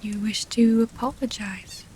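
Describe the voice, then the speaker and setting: Woman acting a soft-whispering, refined female vampire. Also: on the high side, steady tone, unhurried, slight background noise, close to an everyday voice, poor audio. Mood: gentle, reserved. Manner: soft, breathy half-whisper, formal old-fashioned diction. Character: gentle, formal female vampire noble, wounded but devoted lover. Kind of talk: intimate ASMR roleplay audio drama.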